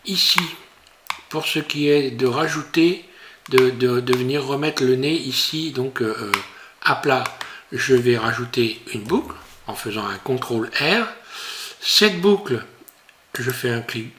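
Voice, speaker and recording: A man teaching a software tutorial while he works on screen, talking in a hesitant, casual way full of fillers and restarts. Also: medium pitch, unhurried, background noise. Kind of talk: screen-recorded tutorial narration.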